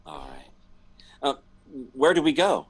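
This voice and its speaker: A man's voice: medium pitch, wildly swooping pitch, measured pace, breathy edge, exaggerated voice. A man acting a nervous, hesitant middle-aged toy salesman in a 1940s-style radio drama. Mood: nervous, bashful. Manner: hesitant, stammering, flustered.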